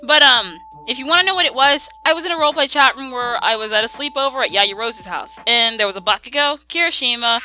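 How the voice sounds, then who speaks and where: A woman chatting casually as she answers viewer questions, animated and slangy, given to exclaiming. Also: high voice, fast talker, few pauses, a little hiss or hum. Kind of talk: casual chat Q&A.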